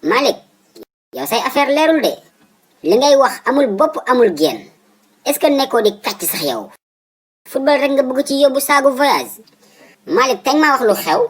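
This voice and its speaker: A man's voice: very high-pitched, sing-song, normal speed, pauses often, poor audio. A man voicing a fussy, stubborn old father in a comic cartoon, with a Senegalese accent, exclaiming and repeating his words emphatically. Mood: suspicious.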